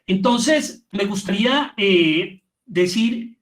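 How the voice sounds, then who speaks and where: A man's voice: sits high, animated, slow, fairly bright tone. A middle-aged man with a Colombian Spanish accent giving an informal lecture in an explanatory, emphatic way, often building up repeated phrases. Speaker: lecturer, theatre and radio drama maker. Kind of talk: informal online lecture on audio fiction.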